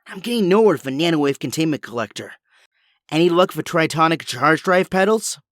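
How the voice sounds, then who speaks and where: Man as animated, brainy young inventor hero. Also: fairly high pitch, brisk, dry recording, clean studio sound. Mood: focused, friendly. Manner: precise, technical, polite. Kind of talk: audio drama acting.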